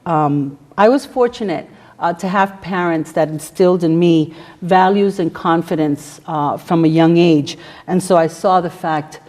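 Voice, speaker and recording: Middle-aged woman, first language Spanish, lower register, formal prepared address, steady delivery with many fillers. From New York City. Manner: measured, formal, frequent "uh" fillers. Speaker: guest speaker, federal prosecutor. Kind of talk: prepared speech to a campus audience.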